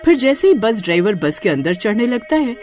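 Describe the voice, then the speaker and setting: A woman telling a spooky cartoon tale with suspense. Her pitch moves a great deal, and faint music plays underneath. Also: big pitch swings, a little fast, a little mellow. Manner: dramatic, suspenseful storytelling. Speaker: narrator. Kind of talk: scripted storytelling narration.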